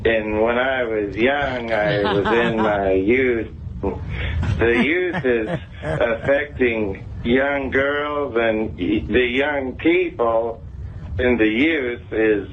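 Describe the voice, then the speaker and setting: Slow, muddled caller played by a man, muffled phone. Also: medium pitch. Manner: halting, repetitive, muddled. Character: slow, dim, rambling caller going in circles. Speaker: prank caller. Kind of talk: call-in prank call. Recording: muffled phone call, faint noise.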